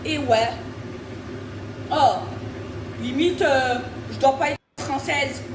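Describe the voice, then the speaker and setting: A high-voiced woman from France rants indignantly, her words wavering between laughter and tears. She sounds distant from the microphone in an echoey room with noise all around her. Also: slow. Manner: indignant, shaky, half laughing half crying. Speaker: influencer in a clip. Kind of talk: emotional rant to camera. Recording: echoey noisy room from off mic.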